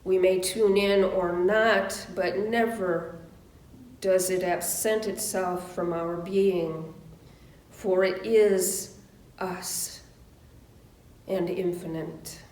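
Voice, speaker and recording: A woman minister speaking a reverent prayer in a solemn, measured way, with deliberate pauses between phrases. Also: lowish voice, steady tone, darkish tone. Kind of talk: reverent spoken prayer and benediction. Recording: roomy echo.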